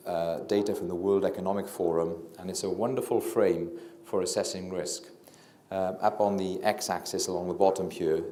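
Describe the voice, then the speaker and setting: A middle-aged Welsh man, a physician giving a public talk into a handheld mic, hesitant with many fillers and restarts, and a self-described low talker. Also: dark tone, slight background noise. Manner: low-talking. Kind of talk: public lecture. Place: lecture room with audience. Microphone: handheld microphone. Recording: echoey lecture room.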